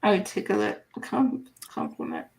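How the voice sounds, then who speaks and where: A woman hosting a live online interview, speaking in an unhurried, halting way as she reads viewer comments aloud. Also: medium pitch, wildly swooping pitch, creaky, a little mellow. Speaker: livestream host.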